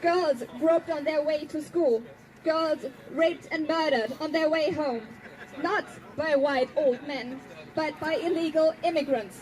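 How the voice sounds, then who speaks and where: High-pitched woman giving a speech. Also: a little mellow, low-quality recording. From Germany. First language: German. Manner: earnest, pointed, slows down for emphasis. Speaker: activist speaker. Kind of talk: prepared speech to an audience.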